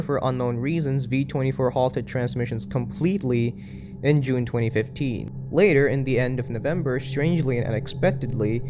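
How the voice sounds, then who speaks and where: A man's voice: medium pitch, background noise. A man narrating an explanatory online video in a documentary style, with casual, conversational asides.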